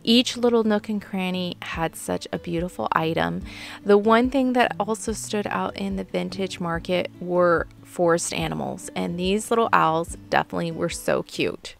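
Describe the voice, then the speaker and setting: Gravelly-voiced woman narrating a shopping vlog. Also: lowish voice, normal speed. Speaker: vlogger. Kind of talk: scripted voice-over narration. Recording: soft music behind.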